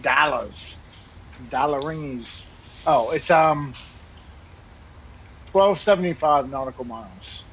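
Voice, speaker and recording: A man's voice: fairly high pitch, slow pace, long pauses, rough recording. A man with a Baltimore accent chatting casually and bluntly as a guest on a flight-sim stream. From United States. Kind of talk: casual chat. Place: voice chat on a game stream. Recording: steady background noise.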